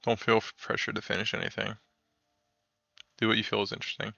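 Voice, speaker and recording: A young American man talks loosely on a coding stream, wandering between thoughts and laughing often. His low voice is gravelly yet bright and forward. The dry recording has a dull, lo-fi sound. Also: completely flat delivery, fast, no room sound. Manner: rambling, laughs often, answers chat. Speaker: game dev streamer. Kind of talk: casual chat on a coding stream.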